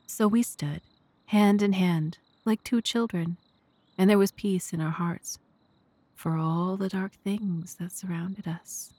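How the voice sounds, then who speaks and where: A woman with a fairly low voice narrates a classic mystery tale. Her delivery is measured and literary, captured close and dry, without room reflections. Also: steady tone, dark tone, no room sound. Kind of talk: scripted first-person narration of a classic mystery audio drama.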